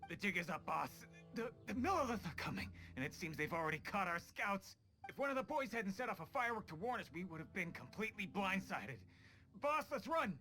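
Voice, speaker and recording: A man's voice: high voice, big pitch swings, fairly quick, pushed and bright, faint music behind, heavily acted. A man playing an eager, jittery, fawning bandit henchman in a video game, with slangy hesitant speech. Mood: panicked. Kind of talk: animated video game acting.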